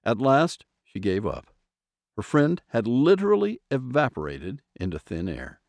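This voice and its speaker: A man's voice: mid-range voice, wildly swooping pitch, measured pace, vocal fry, darkish tone, dry recording. A man narrating an audio drama in a suspenseful storytelling manner with dramatic emphasis. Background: music soundtrack.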